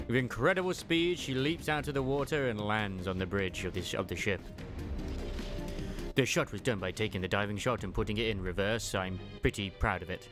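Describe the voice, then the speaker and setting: Animated male story narrator over music. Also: lowish voice. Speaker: narrator and fandub voice actor.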